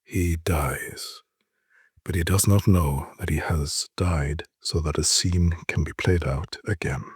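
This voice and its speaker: Male narrator, low and very husky, solemn and deliberate literary storytelling, dry close sound. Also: dry recording. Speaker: narrator reading short stories. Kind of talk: dramatic literary reading.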